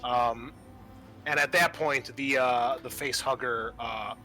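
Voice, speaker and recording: A man with a bright, forward voice runs a tabletop roleplaying game as game master. He narrates off the cuff in a halting, casual style with salty language, over faint music. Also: medium pitch, fairly even intonation. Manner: halting, colloquial, swearing.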